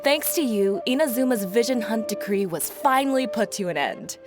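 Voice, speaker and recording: An actress playing an enthusiastic, friendly female sailor in a video game, with an excitable, familiar manner. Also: a little high, moderate pace, over music, natural voice. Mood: excited, admiring.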